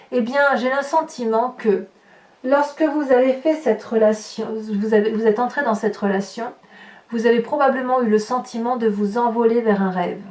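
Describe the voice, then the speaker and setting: A woman with a French accent and a somewhat high voice gives a tarot reading, musing aloud and circling back on her words. She sits away from the microphone, so the sound carries a hollow echo from the room around her. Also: a little mellow. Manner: searching, hesitant, repeats words while reading cards. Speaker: tarot reader. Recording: echoey room, voice from far off.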